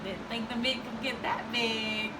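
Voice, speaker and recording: A woman reading a children's storybook aloud in an expressive, deliberate way for young listeners. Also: a little high. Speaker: elementary school educator reading aloud. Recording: distant mic, clear background noise.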